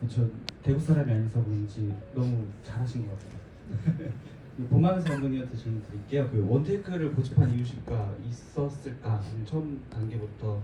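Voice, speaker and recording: A man's voice: mid-range voice, normal speed. A man moderating a film screening Q&A, brief and polite. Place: cinema auditorium. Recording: distant, echoey, noisy hall.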